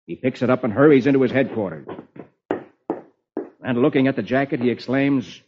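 A man's voice: medium pitch, brisk, noisy background, close to an everyday voice. A man playing a genial reader of comic strips to children, with theatrical 1940s radio storytelling delivery. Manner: theatrical, dramatic. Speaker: host reading the comics. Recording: dull old radio recording with music.

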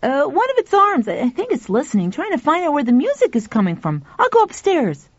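A woman voicing an excited, unflappable young boy genius in an audiobook, explaining things in an eager, matter-of-fact way. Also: high-pitched, a little fast, no echo, lo-fi sound, voice pushed up. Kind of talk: audiobook character dialogue.

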